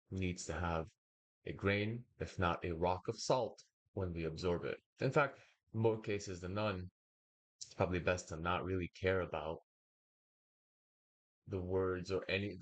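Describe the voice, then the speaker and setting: A young man, raised speaking Bulgarian first, giving a slow, wordy commentary full of pauses as he reacts to a video. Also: deep voice. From United States. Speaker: reaction streamer. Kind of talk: casual commentary.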